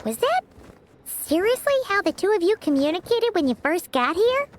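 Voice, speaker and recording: A woman's voice: very high-pitched, very animated, moderate pace, slight background noise. A woman voicing a small, cheeky, childlike fairy companion in an anime game, exclamatory and theatrical. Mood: curious, chatty.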